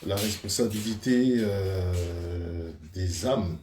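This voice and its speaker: A man speaking as a guest on a live stream, in a hesitant, halting way with many pauses. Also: low voice, roomy sound, noisy background. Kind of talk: casual live-stream chat.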